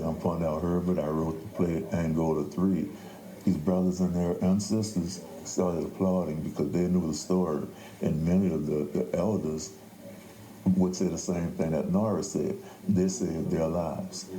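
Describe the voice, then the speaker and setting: Very deep-voiced older man, echoey room. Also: dark tone. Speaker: playwright. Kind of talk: interview conversation, storytelling. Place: indoors at a theater. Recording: echoey room, faint background noise.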